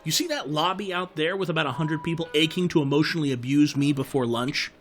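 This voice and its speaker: A man's voice: on the high side, fast talker, faint music behind, natural voice. A man playing a harried, chatty bird who works as a postal clerk, rambling and exasperated in an audio drama. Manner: exasperated, rambling, customer-service patter.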